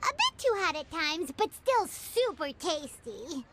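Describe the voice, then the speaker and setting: A woman's voice: very high voice, sing-song, a little slow, heavy background noise, heavily acted. A woman voicing a tiny, bubbly fairy girl companion in a video game who refers to herself in the third person. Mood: chirpy.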